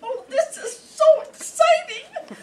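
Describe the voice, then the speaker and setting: A woman's voice: very high-pitched, animated, slow, full character voice. An older woman playing an admiring schoolteacher in an amateur radio play. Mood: gushing. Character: gushing, admiring female schoolteacher. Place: small hall stage, indoors. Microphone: stand microphone, recorded by far camera. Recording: echoey, noisy hall from distance.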